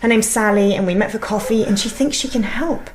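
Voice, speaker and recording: A woman's voice: medium pitch, brisk, lightly acted. A woman with a British accent playing an earnest, insistent wife in a naturalistic radio drama, urgent and intense. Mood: eager, earnest. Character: earnest, insistent young wife, convinced and pressing.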